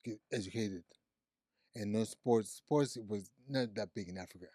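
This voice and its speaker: A man's voice: lowish voice, normal speed, dark tone, no echo, studio quality. A man in his forties from Zimbabwe, speaking as a guest telling his life story in a casual interview, often repeating words and restarting. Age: 40s. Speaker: guest, psychiatric nurse practitioner.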